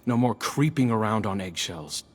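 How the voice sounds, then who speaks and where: A man's voice: lowish voice, expressive, normal speed, husky. An actor playing a resentful, defiant male guardian in a video game, bitter and pointed in his delivery. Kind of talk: video game dialogue acting.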